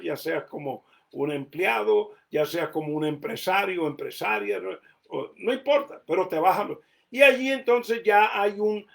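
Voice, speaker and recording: A man's voice: high voice, vocal fry, fairly bright tone. A Colombian-born man raised in the United States, preaching a conversational sermon with a Caribbean Spanish accent, often repeating words as he thinks. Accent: Caribbean Spanish, Cuban and Puerto Rican influenced. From Colombia, raised mostly in the United States. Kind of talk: sermon teaching.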